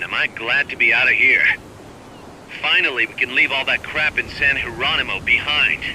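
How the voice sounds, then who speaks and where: An actor playing an earnest, energetic young male mercenary business partner in a video game. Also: medium pitch, slight background noise, low-quality recording.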